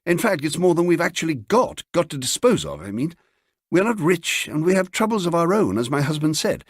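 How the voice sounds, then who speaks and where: A man's voice: a little high, big pitch swings, a little fast, slightly rough, no echo, clean studio sound, voice pushed up. A man voicing a courteous, fussy English wife who is uneasy but firm, in an audiobook story. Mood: apologetic, firm. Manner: courteous, fussy, self-correcting.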